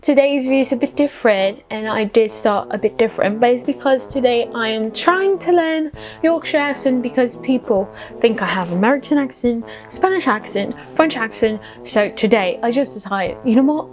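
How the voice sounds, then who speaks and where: Woman, foreign accent, higher-pitched voice, casual vlog explaining, self-deprecating, soft music underneath. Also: animated, normal speed, few pauses, rough recording. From outside the UK. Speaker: vlogger.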